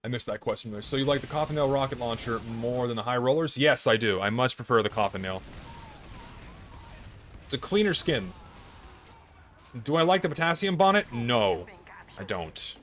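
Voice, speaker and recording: A hoarse, tired young man chatting casually on a live stream, rambling and blunt with plenty of swearing. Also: mid-range voice, lively intonation, normal speed, pauses often, a bit bright.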